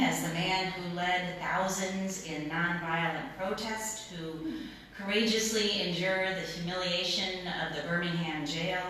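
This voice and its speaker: A middle-aged woman pastor preaching in a plain-spoken, informal storytelling way, pausing and repeating words for emphasis. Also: on the low side, fairly bright tone. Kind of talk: sermon, conversational storytelling. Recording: very echoey room, distant mic, noisy.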